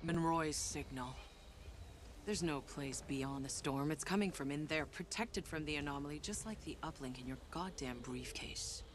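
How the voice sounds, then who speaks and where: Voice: medium pitch, normal speed, lightly acted. An actor playing a world-weary, hard-bitten soldier in a sci-fi video game, blunt and grim. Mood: grim, insistent. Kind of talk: video game dialogue, reflective narration and cutscene. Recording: noisy game ambience behind voice.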